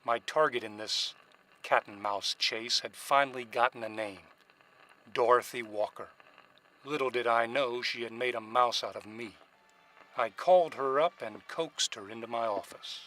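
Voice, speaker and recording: A man's voice: medium pitch, moderate pace, bright, forceful tone, lightly acted. A man playing a shrewd, cynical private investigator in a radio drama, both narrating and questioning in a noir style. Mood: wry, narrating. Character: shrewd hard-boiled male private investigator, cynical and probing.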